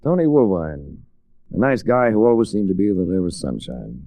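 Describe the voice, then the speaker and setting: A man's voice: sits low, sing-song, normal speed, dark tone, soft background music. A man playing a cool, world-weary American adventurer in 1940s radio drama, laconic and dryly wry. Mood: brooding narration. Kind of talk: 1940s radio drama acting and first-person narration.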